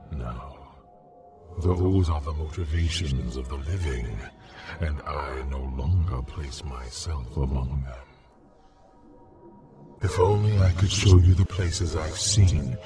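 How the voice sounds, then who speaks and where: Very deep, slow ancient necromancer. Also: male voice, heavily acted. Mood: bitter, proud, then haunted, fervent. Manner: solemn, theatrical, deliberate oratory. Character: ancient male human necromancer villain, grandiose and coldly brooding. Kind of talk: dramatic video game character monologue.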